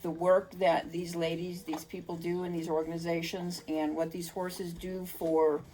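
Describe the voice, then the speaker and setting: A woman's voice: fairly low pitch, level tone, moderate pace, mellow, slight background noise, low-quality recording. An older woman, a children's author, giving earnest, unscripted, explanatory answers in an interview.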